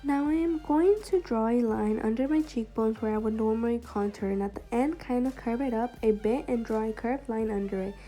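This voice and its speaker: A woman's voice: fairly high pitch, brisk, hardly any pauses, dark tone, low-quality recording. A young woman narrating a makeup tutorial as a voice-over, her voice a little off from being sick. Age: young adult. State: sick, voice affected by a cold. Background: background music.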